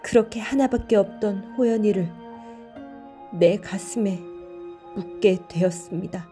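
A woman narrating a scripted audio drama and voicing the mother, with a soft, measured, sorrowful reading. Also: medium pitch. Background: faint music.

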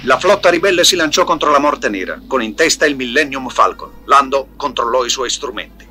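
Guy narrating a storybook record, middling pitch, music clearly behind him. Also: lively intonation, a little fast, sharp tone. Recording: music under the voice.

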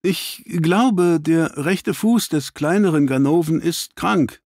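A man's voice: a little high, slow, a little mellow, no room sound, clean studio sound, voice pushed up. A man reading an audiobook, voicing an earnest, bashful young police constable who reports a little hesitantly. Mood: earnest, tentative.

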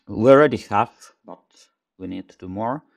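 A man in his forties, a tech company chief, talking over a video call in a thoughtful, halting way, often repeating words as he searches for them. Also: on the low side, vocal fry. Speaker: tech company CEO guest. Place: small home room indoors. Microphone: headset over video call.

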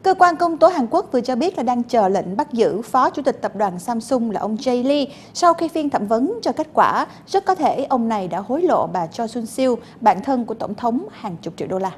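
A young woman anchoring in a TV studio, introducing stories in a lively, expressive way. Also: a little high, moderate pace, few pauses, a little hiss or hum. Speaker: news anchor. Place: TV news studio.

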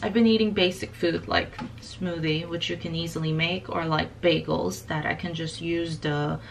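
A woman's voice: fairly low pitch, fairly even intonation, normal speed, creaky, a little hiss or hum. A young woman talking casually to camera in her home, upbeat and chatty, often saying "like.". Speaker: lifestyle vlogger. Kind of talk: casual vlog narration. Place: bright home room and kitchen, indoors.

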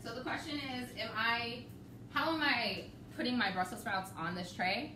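A woman in her thirties hosting a live home cooking demo, chatty and relaxed, laughing often. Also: on the high side, normal speed. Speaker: cooking show host. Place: home kitchen, indoors. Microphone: camera across the kitchen. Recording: roomy, distant, noisy home kitchen.

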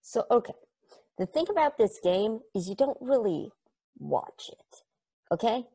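A woman's voice: a little high, sing-song, measured pace, warm tone, husky, heavily acted. A woman voicing an eager, nerdy fan who earnestly explains a strange game at a table read over video call, rambling and correcting herself. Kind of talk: table read of a stage play.

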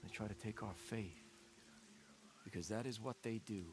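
Actor as intense, deliberate cult leader preaching. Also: male voice, on the low side, background noise, close to an everyday voice. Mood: ominous, slow. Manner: deliberate, repetitive, rhetorical build-ups. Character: intense messianic male cult leader preaching to his flock. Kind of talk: dramatic sermon.

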